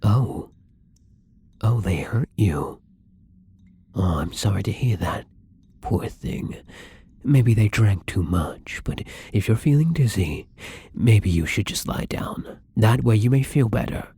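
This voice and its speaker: Casting: male actor as tender young-in-undeath vampire for ASMR roleplay; very breathy, slow, hesitant, slipping into whispers. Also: fairly low pitch, expressive, no echo, heavily acted. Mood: caring, apologetic. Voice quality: breathy, husky. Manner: soft, halting, often dropping into whispers. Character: newly turned gentle vampire, tender and hesitant. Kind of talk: intimate ASMR roleplay acting.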